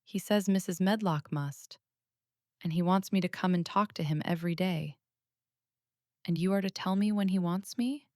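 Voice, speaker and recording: A woman voicing an earnest, matter-of-fact little girl who speaks softly but firmly, in a storybook reading. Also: fairly low pitch, moderate pace, no echo, clean studio sound, pitched above the performer's own voice, close to an everyday voice.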